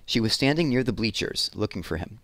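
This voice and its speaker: A man's voice: on the low side, expressive, fairly quick, a bit bright, no room sound. A man narrating a children's story as a read-aloud. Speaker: storybook reader.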